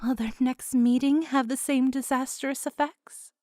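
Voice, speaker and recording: A woman's voice: high voice, fairly even intonation, measured pace, mellow, dry recording, clean studio sound. A woman narrating a fantasy audio drama in a dramatic, teasing storyteller manner.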